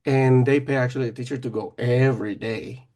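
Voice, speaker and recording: A man's voice: medium pitch, fairly even intonation, fairly quick, dark tone. A man who teaches children, talking in a casual podcast conversation, hesitant and often restarting his sentences.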